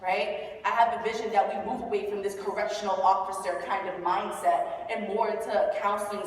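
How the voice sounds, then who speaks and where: A woman's voice: medium pitch, a little hiss or hum. A woman youth advocate on a conference panel, making an impassioned case with repeated "I have a vision" statements. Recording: echoey hall, mic heard from far off.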